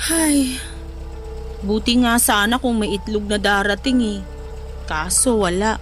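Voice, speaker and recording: An actress playing a sensitive, chiding young wife with a Filipino accent in emotional, colloquial radio drama dialogue. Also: female voice, medium pitch, unhurried, soft background music, close to an everyday voice. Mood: hurt, chiding.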